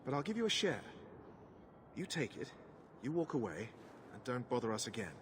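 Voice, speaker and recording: A man's voice: medium pitch, very animated, normal speed, noisy background, natural voice. A man voicing a shrewd smuggler businessman in a video game, bargaining in a dry, businesslike tone.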